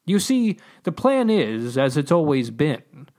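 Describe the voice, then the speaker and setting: A man voicing a loud, bewildered godlike being in a storybook, speaking with polite, ponderous formality and resonance. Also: a little high, very animated, takes it slowly. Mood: puzzled, apologetic.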